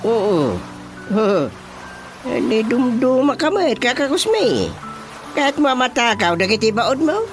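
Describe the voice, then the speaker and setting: A man playing an easily flustered village chairman in a radio drama comedy, with an Ilocano accent and exclamatory, drawn-out reactions. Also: very high voice, sing-song, heavily acted, low-quality recording. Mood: flustered, questioning. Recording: music under the voice.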